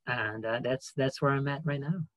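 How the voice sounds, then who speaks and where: A man in his thirties from Brazil chats casually on a video call. His voice sits at a middle pitch, with very little room sound around it. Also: normal speed, no room sound. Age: 30s. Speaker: theatre artistic director and guest. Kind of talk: casual chat. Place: room at home.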